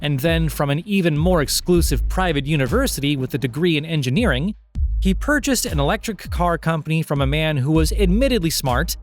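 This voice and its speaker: Male narrator, slightly high register, deliberate and wryly ironic delivery of satirical audio fiction, music underneath. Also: animated. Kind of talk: scripted satirical story narration.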